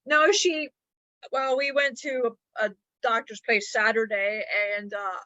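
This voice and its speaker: A woman's voice: on the high side, steady tone, a little slow, sharp tone, no echo. A woman co-host speaking as a channeled being, rambling with frequent restarts in casual chat. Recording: dull lo-fi mic.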